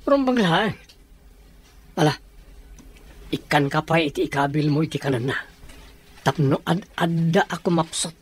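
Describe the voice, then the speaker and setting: A woman's voice: sits low, unhurried, pauses often, a little hiss or hum. A woman acting in a radio drama as a sly, greedy accomplice who eggs on a plot. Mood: urging, greedy.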